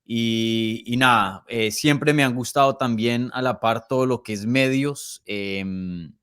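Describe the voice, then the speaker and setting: Smooth-voiced Colombian man in his thirties. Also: mid-range voice, slow, fairly bright tone, dry recording, clean studio sound. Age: early 30s. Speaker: podcast guest, MMA journalist. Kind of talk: casual interview conversation.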